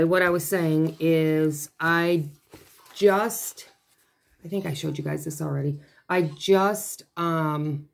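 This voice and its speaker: A woman with a hoarse, failing voice chatting casually at her dining table as she unboxes craft kits, rambling and breaking off mid-thought. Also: deep voice. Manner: rambling, chatty, self-interrupting. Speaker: craft vlogger. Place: home dining room, seated at a table.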